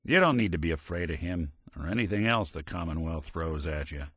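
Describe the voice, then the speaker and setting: A low, expressive male synth detective from a video game. He talks like a noir private eye, gruff and sardonic yet loyal. The delivery is clipped and world-weary, recorded close and dry. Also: a little fast, no echo, full character voice. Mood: earnest, concerned. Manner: wry, hard-boiled, clipped noir delivery.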